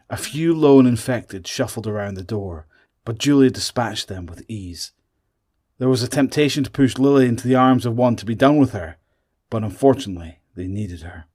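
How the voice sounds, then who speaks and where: A man's voice: medium pitch, a little mellow. A man reading scripted narration for a horror audio drama.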